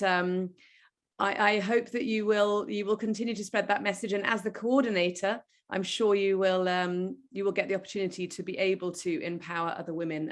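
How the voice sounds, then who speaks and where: Effusive woman hosting a video call. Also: medium pitch. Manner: effusive, warmly praising, trails off softly. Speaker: event moderator.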